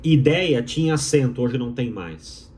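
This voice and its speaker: A man's voice: mid-range voice, expressive, measured pace, darkish tone. A man from Minas Gerais with a Mineiro accent, a doctoral student giving an opinionated explainer to viewers, emphatic and rhetorical, repeating his key phrases. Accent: Mineiro Brazilian Portuguese. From Minas Gerais, Brazil.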